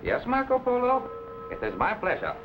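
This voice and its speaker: A scheming Chinese villain in a vintage serial, high-pitched and coldly polite. His formal, clipped phrases swing widely in pitch. The soundtrack is muffled, with music underneath. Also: wildly swooping pitch, normal speed, background noise, exaggerated voice. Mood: coldly accusing. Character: scheming, falsely courteous male Chinese villain, coldly menacing. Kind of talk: 1950s adventure serial acting.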